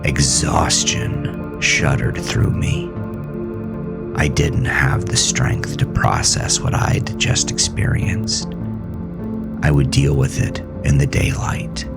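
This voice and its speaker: A man playing a grieving, haunted farmer who narrates a horror audio drama in a brooding, deliberate, theatrical way. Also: a bass voice, level tone, over loud music, heavily acted. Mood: awed, weary. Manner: brooding, deliberate, theatrical, dropping at times into breathy near-whisper. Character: grieving, haunted farmer telling his supernatural ordeal.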